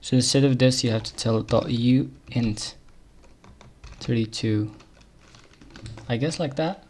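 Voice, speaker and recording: A man with an Arabic accent thinking aloud while debugging code on a live coding stream, muttering to himself with quick chuckles. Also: medium pitch, a little mellow, slight background noise.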